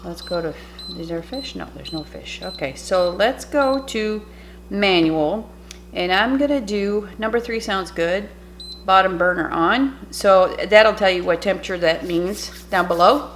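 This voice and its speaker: A woman's voice: mid-range voice, unhurried, a bit bright. Woman, native French speaker, casual cooking vlog, chatty and rambling, home kitchen, faint hum. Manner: chatty, rambling, self-interrupting. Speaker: cooking YouTuber.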